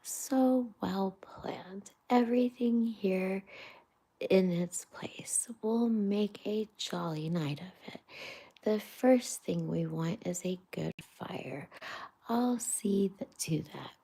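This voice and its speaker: A woman's voice: mid-range voice, slow, gravelly, warm tone, breathy edge, pitched above the performer's own voice, heavily acted. A woman voicing a cheerful, bustling male water rat in a storybook reading, hearty and encouraging.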